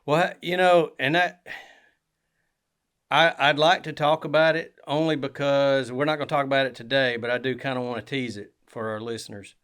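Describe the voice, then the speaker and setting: A man's voice: medium pitch, steady tone, normal speed, fairly bright tone, clean tone. A man, co-hosting a podcast in casual chat, rambling with many fillers and restarts. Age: 20s. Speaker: podcast co-host.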